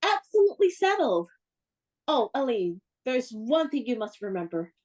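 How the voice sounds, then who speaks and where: A woman's voice: on the high side, big pitch swings, normal speed, darkish tone. An actress playing a spirited, playful, bossy young woman in a radio play, with a theatrical, instructing manner. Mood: breezy, decisive.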